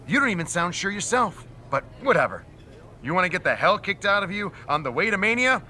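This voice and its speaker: A man's voice: high-pitched, big pitch swings, fairly quick, pushed and bright, exaggerated voice. A man acting as a smug, gloating wrestling general manager in a game cutscene, boastful and sneering.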